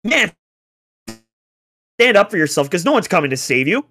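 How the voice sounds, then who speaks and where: Hoarse man ranting about a game. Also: sits high, very animated, normal speed, bright, forceful tone. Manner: heated, exasperated, rhetorical questions. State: getting hoarse. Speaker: podcast co-host, hockey fan. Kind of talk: casual sports talk, ranting.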